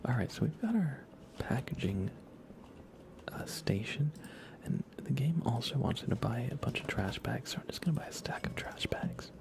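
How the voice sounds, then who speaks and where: A man whispering softly and playfully close to the microphone, giving relaxed ASMR commentary while he games and chats with viewers. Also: mid-range voice, moderate pace. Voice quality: soft, breathy whisper. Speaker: live streamer. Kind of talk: whispered ASMR game stream commentary with chat.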